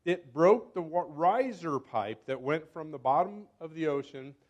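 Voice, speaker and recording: An older man, a scientist, giving a casual talk at a club meeting, often correcting himself and pausing. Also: fairly high pitch, lively intonation, a little mellow, highs cut off. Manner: chatty, self-correcting, fumbles with clicker. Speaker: guest speaker, scientist. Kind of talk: informal lecture. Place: meeting hall. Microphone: handheld or podium microphone.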